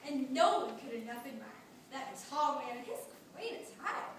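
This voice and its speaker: A woman's voice: high voice, lively intonation, normal speed. A woman reciting narrative verse as part of a readers theatre group. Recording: distant, very echoey room, faint noise.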